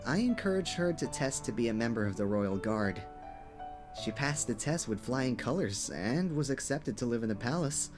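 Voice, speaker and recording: A man voicing a gentle, lonely young cursed character in an animated fan dub, hesitant and apologetic as he confides. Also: mid-range voice, normal speed, lightly acted. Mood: wistful. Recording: music playing behind the voice.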